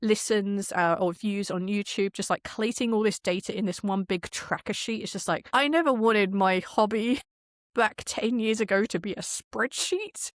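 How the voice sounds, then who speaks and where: A woman's voice: mid-range voice, moderate pace, no room sound, studio quality. A woman talking casually and candidly in an interview, rambling and often quoting herself as she explains things. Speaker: podcast guest, audio drama producer.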